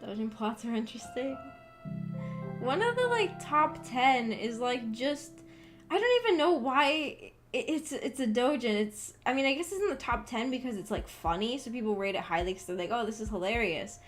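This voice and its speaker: A woman's voice: fairly high pitch, lively intonation, moderate pace. A young woman chatting casually as a VTuber on a livestream, rambling in a giggly, hesitant way. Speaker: VTuber streamer. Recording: background music behind voice. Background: music.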